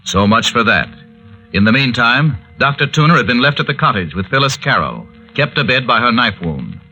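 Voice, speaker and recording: Dramatic, forceful vintage radio announcer. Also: male voice, medium pitch, big pitch swings, normal speed. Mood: ominous. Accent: American. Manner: theatrical, ominous. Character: dramatic male announcer-narrator of eerie adventure serial. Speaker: announcer and narrator. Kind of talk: 1940s radio scripted narration. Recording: dull vintage radio, music behind.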